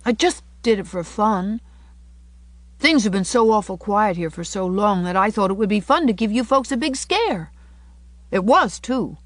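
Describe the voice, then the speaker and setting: A woman's voice: mid-range voice, lively intonation, moderate pace, no room sound, pitched above the performer's own voice, lightly acted. A woman voicing a mischievous but earnest little boy from a storybook, talking in frank, childish colloquial speech. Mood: frank, puzzled. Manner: childish colloquial talk, dropped syllables.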